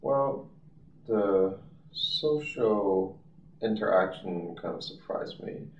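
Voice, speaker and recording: Man, from China, deep, slow, halting, far from the mic, roomy. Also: animated. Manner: halting, searching for words. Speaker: interviewee. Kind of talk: interview answer. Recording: distant, echoey and dull.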